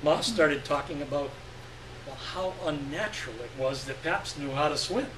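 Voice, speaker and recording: A man giving an expressive live reading of literary fiction, with deliberate phrasing and dramatic pauses. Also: fairly high pitch. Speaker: story reader. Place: live reading event indoors. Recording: echoey room, distant mic, faint hum.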